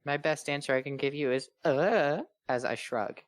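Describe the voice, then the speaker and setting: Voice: mid-range voice, moderate pace, no room sound. A person in a casual chat, giving brief, understated remarks.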